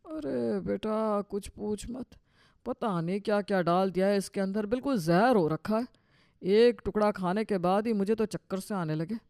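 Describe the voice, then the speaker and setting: A man's voice: sits high, normal speed, vocal fry, a little mellow, dry recording, studio quality. An actor playing a weary, worried elderly father-in-law in a cartoon story, complaining in a resigned way. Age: older. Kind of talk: Hindi cartoon story dialogue.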